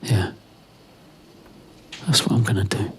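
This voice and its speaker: A man performing a conversational monologue in a husky voice, reflective and hesitant, in short halting phrases. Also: on the low side, fairly even intonation, slight background noise.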